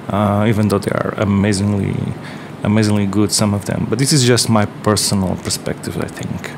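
A man's voice: on the low side, noisy background. A man with a Portuguese accent, casually explaining his workbench build, rambling with many fillers and restarts. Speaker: electronics maker. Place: workbench, indoors.

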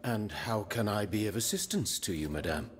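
An actor playing a dry, indignant gentleman scientist in a video game, brusque yet courteous. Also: fairly low pitch, lively intonation, moderate pace, natural voice. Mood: incredulous, dry. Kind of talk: video game cutscene acting.

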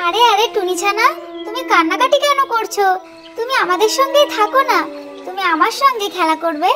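A little cartoon ghost girl with a very high, squeaky voice. She is childish and wheedling, kind underneath, and pleads in a whiny way over prominent music. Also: expressive, a little fast. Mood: coaxing.

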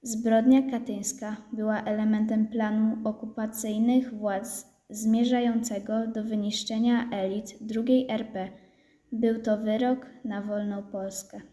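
A woman's voice: fairly high pitch, little pitch movement, unhurried, mellow. A woman reading scripted historical narration in a formal, solemn manner. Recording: echoey room.

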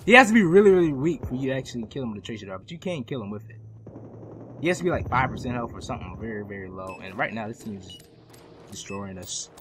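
A young man giving casual, profanity-laced commentary over his own console shooter gameplay, chatty and quick to laugh at himself. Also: mid-range voice, animated, noisy background. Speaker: gaming YouTuber.

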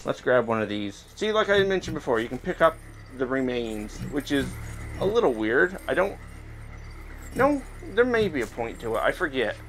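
A man's voice: fairly high pitch, fairly bright tone, rough recording. A man hosting a gaming video, giving casual, chatty commentary and thinking aloud as he plays. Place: indoors, playing in a VR headset in front of a camera. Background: game music.

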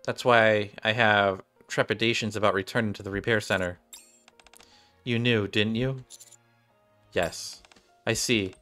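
A man's voice: lowish voice, fairly even intonation, a little slow, long pauses, bright, forceful tone. A man in his thirties streaming a game and reading its dialogue aloud in a room at home. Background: game music, keyboard typing.

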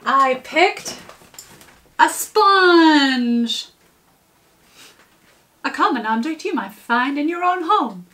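A woman's voice: high-pitched, animated, drawn-out words, pauses often, sharp tone. A woman in her thirties presenting casually with playful, theatrical emphasis in a home kitchen. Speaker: puppeteer presenter. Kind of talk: casual explainer.

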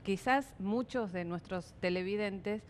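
A woman's voice: medium pitch, measured pace, slight background noise. A middle-aged woman with a Rioplatense accent, a TV interviewer asking probing questions in a conversational way on a headset mic in a studio. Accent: Rioplatense Spanish. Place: dark TV studio at a round table.

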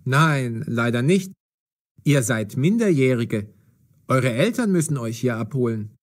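An actor playing a police detective in an audio drama, commanding and mocking but fair. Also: male voice, mid-range voice, lively intonation, measured pace. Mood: matter-of-fact. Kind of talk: audio drama for language learners.

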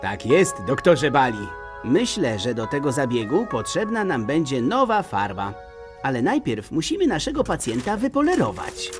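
A man voicing a gentle, patient father dog in a children's cartoon dub, playful, encouraging and expressive. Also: medium pitch, normal speed, music in the background, close to an everyday voice. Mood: instructive.

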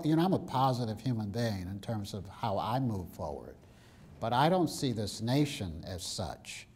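Brief: older man, dark mellow tone, forceful storyteller on a stage panel in a big hall. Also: medium pitch. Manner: emphatic, storytelling, wry asides. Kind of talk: passionate panel talk.

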